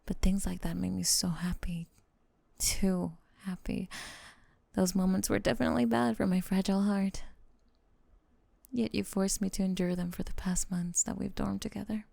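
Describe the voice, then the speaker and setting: A young woman playing a lovestruck, possessive lamia roommate in an intimate ASMR roleplay, whispering slowly and tenderly in a breathy, coaxing way. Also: medium pitch, fairly even intonation, dark tone, dry recording. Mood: fond, wistful, then teasing, accusing.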